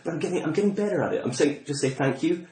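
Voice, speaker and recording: A man's voice: on the high side, very fast, dark tone. A man hosting a podcast, chatting casually and interviewing in a jokey, self-deprecating way. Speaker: podcast host.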